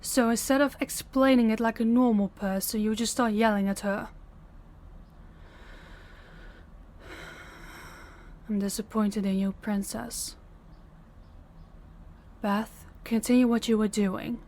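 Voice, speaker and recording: A woman's voice: fairly high pitch, fairly even intonation, darkish tone, natural voice. A woman playing a young princess, stern, principled and formal, in an audio roleplay. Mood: stern, commanding. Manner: formal, commanding, measured diction. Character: young princess, stern, authoritative, compassionate, defiant.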